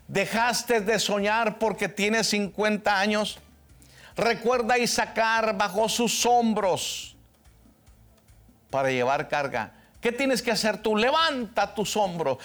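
A man, preaching a fervent televised sermon that swings from soft to loud and emphatic. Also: fairly high pitch. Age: 60s. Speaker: pastor.

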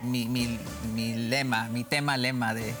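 A man from Guatemala whose Spanish carries a Mexican tinge answers in an interview, at an ordinary pitch for a man. He often trips over his words, repeating and restarting before he gets the point out. Music plays clearly behind him. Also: flat delivery, unhurried, fairly bright tone. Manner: hesitant, many restarts and fillers.

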